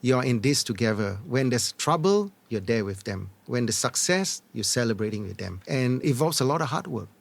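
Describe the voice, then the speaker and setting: A middle-aged man answering interview questions as an experienced business leader, in measured, earnest, short deliberate phrases. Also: mid-range voice, sing-song, creaky. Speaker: entrepreneur and former corporate leader, interview guest. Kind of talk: reflective conversational interview answers.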